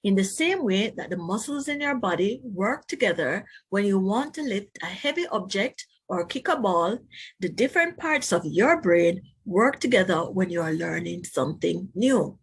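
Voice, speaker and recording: A woman teacher reading a children's book aloud, pausing to explain and put questions to young listeners. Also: mid-range voice, expressive, slightly rough.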